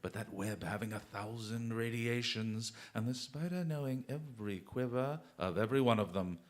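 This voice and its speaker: An actor playing a brilliant, playfully self-assured English gentleman detective in a radio drama, speaking in eloquent, exclamatory flourishes. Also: mid-range voice, moderate pace, natural voice. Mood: grave, eloquent.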